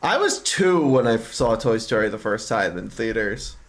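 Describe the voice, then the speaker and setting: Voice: medium pitch, big pitch swings, moderate pace. A streamer chatting casually in a rambling way over a game, telling movie anecdotes. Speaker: streamer reading a game's dialogue aloud. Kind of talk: casual chat while playing a game. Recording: music behind the voice. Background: music.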